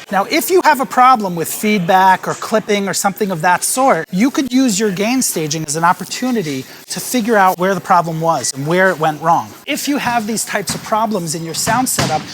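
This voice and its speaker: A man teaching live sound setup in a music venue, explaining each gain stage calmly and speaking into a handheld vocal mic. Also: high-pitched, slight background noise. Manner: calm, explanatory, stepping through each setting. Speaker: sound engineer and band leader teaching live sound. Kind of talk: hands-on tutorial with sung demonstrations. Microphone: handheld vocal microphone.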